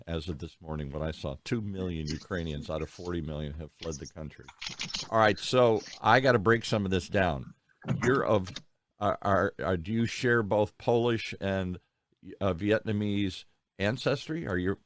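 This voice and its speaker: Low-voiced rambling male interviewer. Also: normal speed. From United States. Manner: rambling, thinks aloud with many restarts. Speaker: podcast host. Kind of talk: conversational interview.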